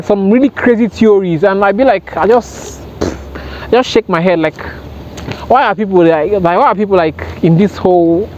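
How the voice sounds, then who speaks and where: A man's voice: sits high, a little mellow. A man speaking earnestly and emphatically in an impromptu motivational talk to camera, often repeating himself for stress. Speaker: self-help vlogger. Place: outdoors by water, sitting on a rail. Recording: dull lo-fi sound, outdoor noise.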